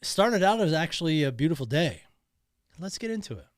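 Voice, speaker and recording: A man's voice: a little high, big pitch swings, fairly quick, no echo. A man talking alone in a podcast studio, emotional and emphatic, often repeating himself. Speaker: podcast host. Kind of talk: personal monologue.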